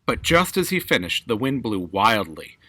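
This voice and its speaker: A man's voice: on the low side, big pitch swings, normal speed, pushed and bright, no echo. A man reads a children's story aloud as a storyteller, in narrated prose.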